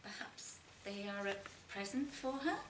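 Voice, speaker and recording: A woman reading literary fiction aloud as an expressive narrator, with deliberate phrasing and dramatic pauses. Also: medium pitch. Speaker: short story reader. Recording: roomy, distant mic, faint noise.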